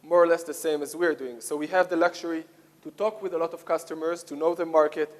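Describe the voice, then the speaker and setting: A man with an Israeli accent giving a conference presentation, speaking haltingly with frequent hesitations and repeated phrases. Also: a little high, fairly even intonation. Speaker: conference speaker, software product lead. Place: conference hall, speaking to an audience. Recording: echoey hall with long reverb.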